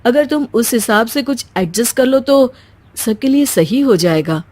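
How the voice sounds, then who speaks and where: Stern young husband, high voice acted by a woman. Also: animated, darkish tone. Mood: earnest. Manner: reproachful, measured lecturing. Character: stern, earnest young husband lecturing in moral story.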